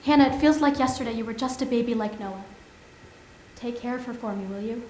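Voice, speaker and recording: A woman's voice: a little high, brisk, a little mellow, lightly acted. A woman playing a tender, earnest dying mother, a scientist in her forties, in a staged musical reading. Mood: tender, reassuring. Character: tender dying mother, a geneticist, aged forty-five. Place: theatre stage. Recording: very echoey theatre with background noise.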